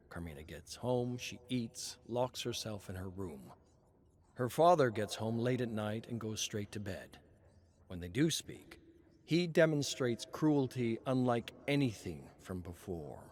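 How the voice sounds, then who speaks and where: A man reading scripted narration as a storyteller, solemn and measured, with dramatic pauses. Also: mid-range voice.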